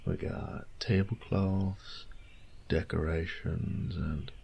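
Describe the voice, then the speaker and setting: Man playing a low, creaky boyfriend. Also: little pitch movement, slow pace, a little mellow, lo-fi sound, exaggerated voice. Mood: playful, excited. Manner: drawling, teasing, tender, coaxing, with breathy near-whispers. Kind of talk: intimate scripted audio roleplay addressed to the listener.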